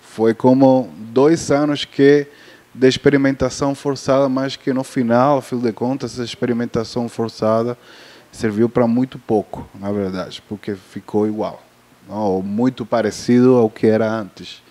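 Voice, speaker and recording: Cuban guy talking on a panel, accent, warm low-key voice, lots of uhs and restarts. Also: steady tone, moderate pace. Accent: Cuban Spanish. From Cuba. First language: Spanish. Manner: hesitant, many fillers and restarts. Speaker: festival panelist on film distribution. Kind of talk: panel talk.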